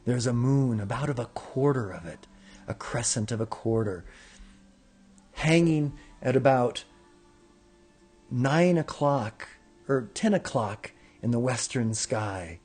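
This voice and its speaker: A man of middle pitch hosts a spiritual broadcast from an indoor room. He talks in a calm, slow, reflective way with hushed stretches, then leads chanted affirmations. Soft music plays faintly behind him. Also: husky. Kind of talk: meditative spiritual talk leading chanted affirmations. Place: indoor room with windows in the mountains.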